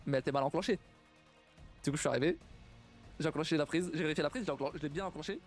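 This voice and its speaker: A young man with a high voice talks casually on a live stream. He is halting and repeats words as he goes, laughing often. Faint music plays in the background. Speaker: streamer reacting to stories.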